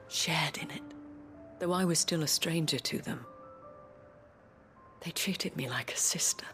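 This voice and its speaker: Slow-spoken, gracious fantasy madame, music behind. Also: female voice, sits low. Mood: grateful, resolute. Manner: measured, genteel, eloquent, storytelling. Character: gracious worldly former courtesan, madame leading a fantasy town. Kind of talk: dramatic video game cutscene acting. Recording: music under the voice.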